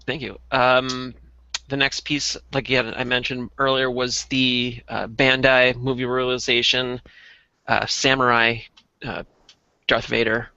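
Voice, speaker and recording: A man in a casual chat about collectible toys, reviewing them as a co-host with halting, detailed explanations. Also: medium pitch, level tone, gravelly, sharp tone, smooth, no room sound, lo-fi sound. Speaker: toy reviewer and podcast co-host.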